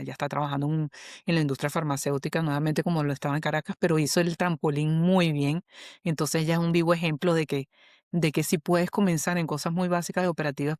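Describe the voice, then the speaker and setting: A Venezuelan woman, a human resources specialist, talking as a guest in an interview, animated and often checking in with "¿ok?". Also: low voice, no echo, studio quality. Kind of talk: conversational interview.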